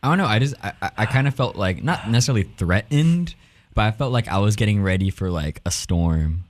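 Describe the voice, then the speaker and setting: A man's voice: on the low side, lively intonation, a little fast, no echo. A man co-hosting a casual podcast chat about music, hesitant and searching for words. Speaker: podcast co-host. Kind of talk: casual album review chat.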